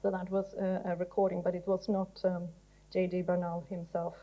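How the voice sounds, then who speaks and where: A woman's voice: lowish voice, little pitch movement, normal speed, muffled recording. A woman giving an informal science lecture in an explanatory, hesitant way, often repeating words as she goes. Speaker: speaker giving a talk.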